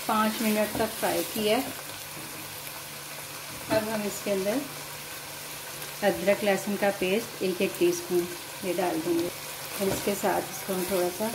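A woman with an Urdu-influenced Hindustani accent calmly explains a home cooking recipe step by step to viewers. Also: mid-range voice, background noise. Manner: calm, explanatory, addresses viewers directly. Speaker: home cooking channel host. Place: home kitchen at the stove.

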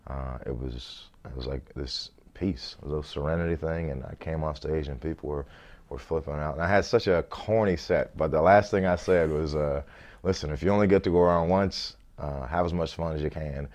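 Very deep-voiced easygoing man. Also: brisk, darkish tone. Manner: easygoing, laughs often, repeats words as he thinks. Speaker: comedian, interview guest. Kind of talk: relaxed interview chat. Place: small indoor studio set.

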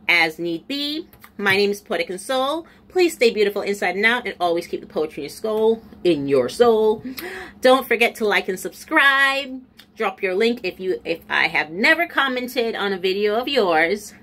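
A woman in her forties, a beauty vlogger talking to camera at home, chatty and a little stuffy and nasal, dropping into low asides. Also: sharp tone. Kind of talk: casual chat to camera. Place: small room at home, indoors. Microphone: camera in front at close range.

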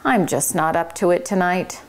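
A woman reading aloud and voicing a gentle, homesick male cricket who speaks softly. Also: fairly low pitch, natural voice. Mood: wistful. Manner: soft, wistful, unhurried. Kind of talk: children's book read-aloud.

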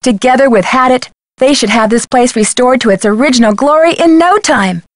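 A woman's voice: high-pitched, a little fast, no echo, clean studio sound, lightly acted. A woman playing a level-headed teenage girl detective in a video game, speaking scripted lines in a composed, conversational way. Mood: reflective. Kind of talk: scripted game dialogue and letter narration.

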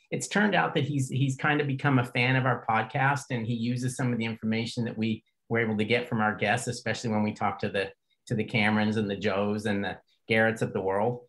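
Older man, podcast host, rambling, folksy, joking, casual interview, middle-pitched voice. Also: rough recording.

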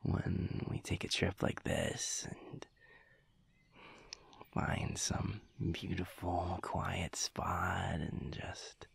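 A man playing a sweet, reflective werewolf boyfriend in an intimate audio roleplay, speaking softly and haltingly in a breathy, gravelly half-whisper. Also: sits low, little pitch movement, exaggerated voice. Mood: musing, gentle. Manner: slow, halting, soft-spoken, musing.

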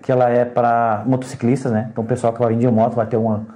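A man's voice: mid-range voice, little pitch movement, fast, dark tone. A middle-aged man explaining things as an official in an interview, often ending phrases with a tag question. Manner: frequent "né" tags. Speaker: traffic agency official being interviewed. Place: indoors at radio station.

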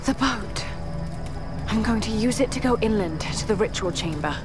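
An actress voicing a weary, haunted but determined young woman adventurer in a video game cutscene, breathy and halting. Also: mid-range voice, expressive, over music, close to an everyday voice. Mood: pensive, determined. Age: young adult.

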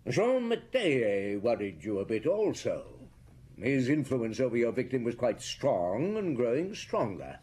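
A shrewd British detective chief in a radio play. His husky voice moves expressively up and down as he probes and reflects, measured and world-weary. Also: mid-range voice, big pitch swings, a little mellow. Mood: accusing, shrewd. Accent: British English. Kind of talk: British radio drama acting.

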